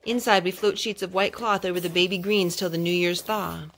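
A young woman reading a children's picture book aloud at home, phrase by phrase in a measured, careful way. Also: fairly low pitch, steady tone, a bit bright. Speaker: storytime host reading to her children. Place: carpeted room at home. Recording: clear background noise and clicks, homemade.

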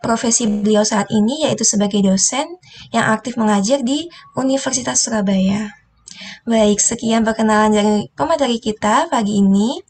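A woman's voice: a little high, normal speed, darkish tone, a little hiss or hum. A young woman moderating a session, polite and formal as she introduces the speaker over a video call. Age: young adult. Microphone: video call webcam.

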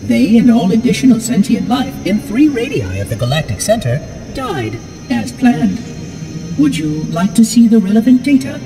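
A fussy robot oracle in a video game, over-polite and clinically detached. His pitch moves in lively swings, a little distant, with music behind. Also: normal speed, vocal fry, exaggerated voice. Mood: polite detachment. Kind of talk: video game cutscene acting.

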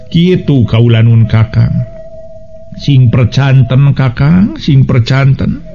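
A man's voice: medium pitch, lively intonation, takes it slowly, creaky, dark tone. A man voicing a devoted queen in a radio story, pleading with her king in a deferential, courtly manner. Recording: dull lo-fi radio recording with music.

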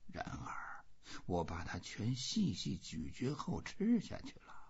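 A man voicing a weary, stoic old fisherman musing slowly to himself in an audiobook. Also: lowish voice, wildly swooping pitch, vocal fry, husky, in a whisper, exaggerated voice. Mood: musing, hushed. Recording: dull, muffled lo-fi audio.

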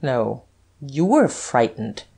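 A woman's voice: fairly low pitch, animated, unhurried, darkish tone, pitched above the performer's own voice. A woman voicing a cool, scornful aristocratic man who taunts with easy superiority in a dramatized audiobook. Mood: cool, light. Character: suave, cool, scornful aristocratic gentleman duke.

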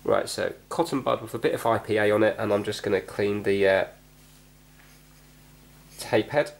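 A man's voice: on the low side, steady tone, normal speed. A man casually narrating hands-on repair work, thinking aloud and explaining each step in a tentative way. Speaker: host of a repair video. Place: indoors at a cluttered workbench. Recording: clear background noise, clicks from handling.

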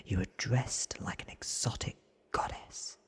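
A man voicing an earnest, awed young man from a storybook in a hushed, breathy ASMR whisper. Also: close to an everyday voice. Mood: awed, eerie. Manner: hushed, drawn-out, earnest telling.

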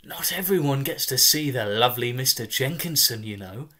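A man's voice: medium pitch, animated, normal speed, sharp tone. A man voicing a doting, middle-aged mother, cooing cheerfully and consolingly as part of a told story. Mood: chirpy, impressed.

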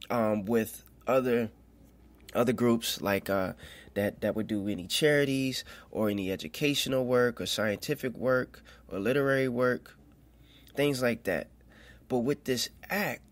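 A man hosting a podcast, giving loose, conversational religious commentary that wanders from point to point, with small laughs along the way. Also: mid-range voice. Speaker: podcast host. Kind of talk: casual spoken religious commentary.

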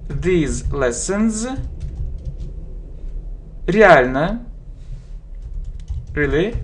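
Very slow man teaching English phrases. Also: fairly high pitch. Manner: very slow, drawn-out, repeats each phrase word by word. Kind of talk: slow dictation-style language lesson. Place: home room, indoors. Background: keyboard typing and clicks.

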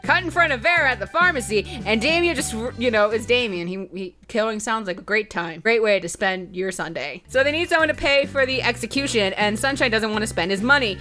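A woman's voice: a little high, lively intonation, brisk, few pauses, pushed and bright, soft background music. A woman casually recapping a video game as host, chatty, joking and sweary, with playful asides. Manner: chatty, sweary, with playful mock voices for quotes. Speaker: let's play host.

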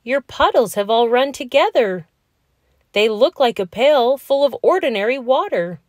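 Woman playing a bossy, animated young boy crocodile. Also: fairly high pitch, normal speed, dry recording, studio quality, pitched above the performer's own voice, lightly acted. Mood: skeptical.

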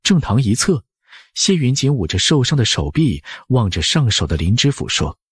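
A man's voice: medium pitch, sing-song, moderate pace, breathy edge, no echo. A man narrating a novel as an audiobook, scripted and dramatic.

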